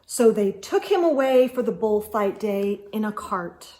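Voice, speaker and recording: A woman's voice: mid-range voice, expressive, a little slow, mellow. A woman reading a children's storybook aloud as host, expressively and with emphasis, speaking directly to young listeners.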